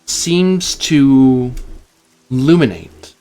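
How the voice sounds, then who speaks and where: Guy running a tabletop rpg, slow and halting, lots of pauses, normal pitched voice. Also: animated, warm tone. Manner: halting, deliberate delivery with pauses. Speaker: game master. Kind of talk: narrating a tabletop game.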